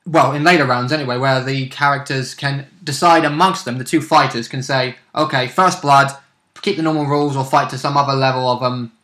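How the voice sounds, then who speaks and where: A man's voice: mid-range voice, steady tone, fairly quick, sharp tone. A man chatting casually as co-host, chiming in with clarifications about tabletop game rules. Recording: roomy, echoing room sound.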